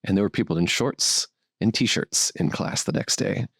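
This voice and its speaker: A man in his thirties with a low-pitched voice recorded dry and close. He tells his story as a podcast guest, upbeat and chatty, poking fun at himself. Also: normal speed, creaky, a bit bright, husky, no echo. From born in Brazil, raised in Miami. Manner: chatty, upbeat storyteller with self-deprecating humor. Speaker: fertility doctor, guest. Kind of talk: relaxed podcast interview.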